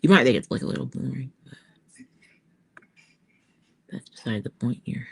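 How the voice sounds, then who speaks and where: A woman artist chatting informally to her viewers as she works, halting and thinking aloud. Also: rumbling low, creaky, breathy edge. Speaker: author-artist hosting a creative livestream. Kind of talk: casual chat to viewers while working on art.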